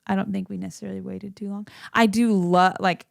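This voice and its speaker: A woman's voice: mid-range voice, fairly even intonation, a little fast, vocal fry, no echo, studio quality. A woman in her thirties, a podcast guest, chatting casually and laughing often. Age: 30s. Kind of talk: casual chat.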